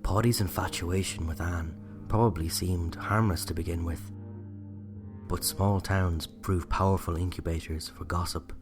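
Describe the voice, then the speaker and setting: A man with an Irish accent narrating a scripted true-crime story in a measured, reflective way. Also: sits low, steady tone, music in the background. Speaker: writer and narrator.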